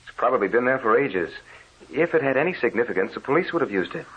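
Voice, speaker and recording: An actor playing an uneasy, dismissive husband in a theatrical, impatient way, in an old radio drama on a muffled recording. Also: male voice, mid-range voice, expressive, fast talker, breathy edge. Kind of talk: 1930s-40s radio drama acting.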